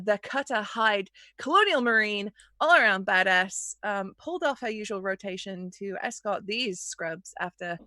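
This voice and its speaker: A woman's voice: mid-range voice, bright, forceful tone, no room sound. A woman chatting casually as a player on a game livestream, brash and playful.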